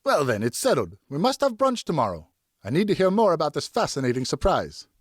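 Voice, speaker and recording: Man playing a tipsy, exuberant, high-pitched French gentleman. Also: wildly swooping pitch, a little fast, no room sound, clean studio sound. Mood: excited, teasing. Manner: exuberant, blurting, effusive. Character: tipsy, jovial French gentleman, effusive old friend. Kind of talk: audiobook character dialogue.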